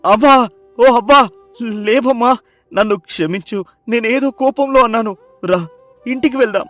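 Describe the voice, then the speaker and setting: A man's voice: very high-pitched, unhurried, fairly bright tone, soft background music. A man voicing an emotional village husband, hot-tempered and remorseful, in a melodramatic moral story. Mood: pleading, alarmed.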